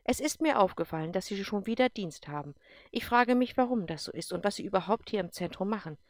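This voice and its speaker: A woman reading aloud and voicing a strict, commanding female general who talks in clipped, forceful sentences. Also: medium pitch, animated, fast, dry recording, studio quality, natural voice. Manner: clipped, forceful, brooks no argument. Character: stern, commanding female general, middle-aged.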